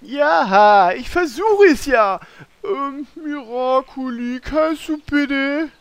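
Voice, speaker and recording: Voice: high-pitched, animated, drawn-out words, no room sound, exaggerated voice. A performer voicing a dim, hapless male warrior hero who talks in a hesitant, dopey way. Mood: flustered. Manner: hesitant, dopey, with filler sounds.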